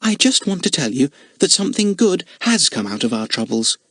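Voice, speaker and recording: A man's voice: fairly high pitch, very animated, brisk, slightly rough, no echo, studio quality, pitched above the performer's own voice, heavily acted. A man voicing a kindly motherly woman in a storybook reading. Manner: gentle and kindly. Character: warm motherly woman running a caravan home.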